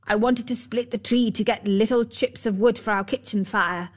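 A woman's voice: on the high side, fairly even intonation, moderate pace, close to an everyday voice. A woman voicing an irritable, rude little old male dwarf from a storybook, snapping and scolding. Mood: irritated, scolding. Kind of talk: storybook character voice.

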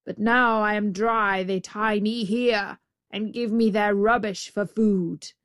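A woman's voice: mid-range voice, steady tone, takes it slowly, a bit bright, no echo, studio quality. A woman voicing a worn-out, resentful buffalo in a storybook, complaining bitterly. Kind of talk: storybook character voice.

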